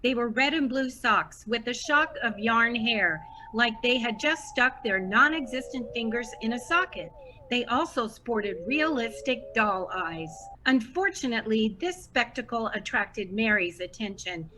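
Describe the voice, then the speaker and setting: A woman's voice: on the high side, lively intonation, a little slow, pushed and bright, a little hiss or hum, low-quality recording. A woman playing a wry, articulate narrator, reading scripted narration for an audio comedy drama. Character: wry female radio narrator commenting on her characters.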